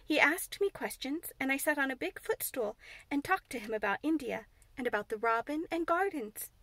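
Woman reader as an earnest, determined little girl, pitched up, dry close sound. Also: animated, normal speed, a bit bright, no room sound.

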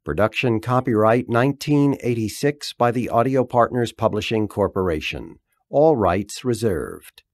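A man reading a scripted publisher's announcement in a formal, measured way. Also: mid-range voice, lively intonation, no room sound. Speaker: audiobook credits announcer.

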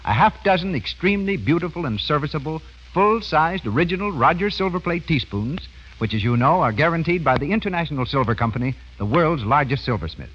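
A man's voice: a little high, animated, brisk, slight background noise. An American man announcing radio advertising in a formal, emphatic 1930s style, enunciating carefully. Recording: muffled 1930s radio broadcast recording.

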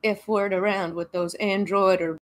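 A woman's voice: mid-range voice, steady tone, moderate pace, close to an everyday voice. A woman putting on the voice of a forgetful eighty-nine-year-old grandmother who rambles and mishears things. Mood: rambling. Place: small home room, indoors.

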